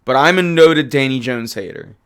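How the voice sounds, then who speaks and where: A man hosts a live sports talk stream in a hoarse voice. He sits at a middling male pitch and banters casually with his chat. He turns animated and emphatic when he argues about players' stats. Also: moderate pace. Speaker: livestream sports show host.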